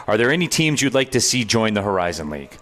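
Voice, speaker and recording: A man co-hosting a radio show, reading listener questions off a screen in a halting, casual way. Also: on the low side, very animated, a bit bright.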